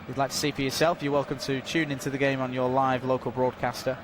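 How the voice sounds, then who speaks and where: Male football commentator, measured delivery, mid pitch, clear outdoor background noise. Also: flat delivery. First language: not Dutch. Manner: measured, informative, filling time with stats and updates. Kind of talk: live sports commentary during a stoppage.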